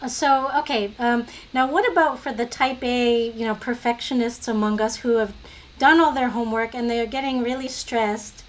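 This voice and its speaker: Female interviewer, slightly high voice, conversational, asking a guest for advice. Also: fairly even intonation, normal speed.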